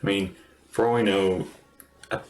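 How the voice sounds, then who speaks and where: A woman's voice: a bass voice, steady tone, normal speed, a little mellow, soft background music. A woman giving casual livestream commentary on a game, musing aloud in an informal way. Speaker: streamer reacting to a game.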